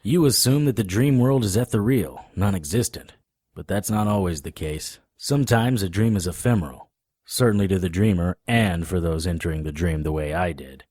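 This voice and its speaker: A man playing a weary, wry monster fighter, narrating an audio drama in the first person with a husky voice. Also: low-pitched, normal speed, darkish tone, no echo, clean studio sound. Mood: dry, matter-of-fact.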